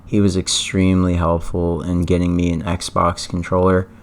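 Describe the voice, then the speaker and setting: A man with a hoarse, worn-out voice hosts his own esports news vlog. He sits low in pitch and talks to camera in a loose, casual way, eager and keen on doubling up words for emphasis. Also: monotone, moderate pace. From Atlanta, US. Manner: enthusiastic, repeats words for emphasis.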